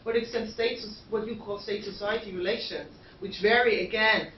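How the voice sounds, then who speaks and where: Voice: medium pitch, steady tone, normal speed, slight background noise. An academic discussant thinking aloud, with fillers and self-corrections. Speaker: panel discussant and academic supervisor. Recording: distant, roomy, dull hall recording.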